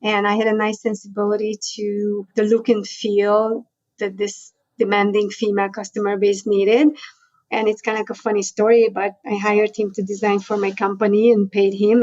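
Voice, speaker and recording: A woman's voice: medium pitch, level tone, a little fast, darkish tone, dry recording, lo-fi sound. A middle-aged woman from Hungary with a Hungarian accent, chatting as a guest in an interview and telling her story with many asides. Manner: storytelling, chatty, frequent "you know" and laughs. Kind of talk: conversational interview.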